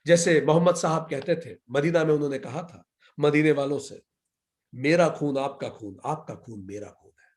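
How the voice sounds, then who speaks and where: Man, Indian accent, spiritual teacher, scripture lecture, emphatic, repetitive build-ups, rhetorical questions, slightly high voice. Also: normal speed. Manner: asks and answers his own questions.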